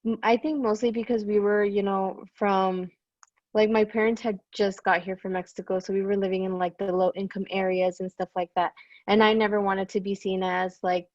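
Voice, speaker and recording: A woman's voice: medium pitch, little pitch movement, moderate pace, darkish tone, no echo. Panelist, woman, reflective and hedging, dull dry audio. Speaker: student panelist.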